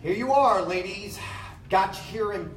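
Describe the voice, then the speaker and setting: A woman's voice: mid-range voice, unhurried, close to an everyday voice. A woman playing a put-upon working-class cab driver in a live 1930s-style radio drama, protesting in colloquial, exasperated phrases. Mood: reassuring. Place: live on stage in a large theater. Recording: distant, echoey theater stage, faint noise.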